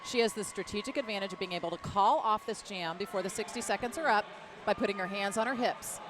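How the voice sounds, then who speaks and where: A woman with an American accent giving live roller derby play-by-play, excited, emphatic and chatty. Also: medium pitch, fast talker. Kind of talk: live play-by-play commentary with casual banter. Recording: noisy venue sound behind commentary. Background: loud venue noise.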